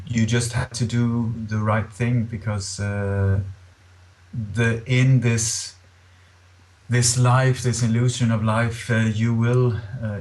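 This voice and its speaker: A middle-aged man from Scandinavia with a Scandinavian accent, speaking earnestly and haltingly as an interview guest. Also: mid-range voice, a little mellow. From born in Denmark, grew up in Sweden.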